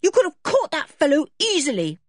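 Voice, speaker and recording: A woman's voice: sits high, sing-song, moderate pace, dry recording, studio quality, pitched above the performer's own voice. A woman voicing a hot-tempered, imperious tomboy girl in a children's storybook. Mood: furious scolding. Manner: imperious, shouting and scolding.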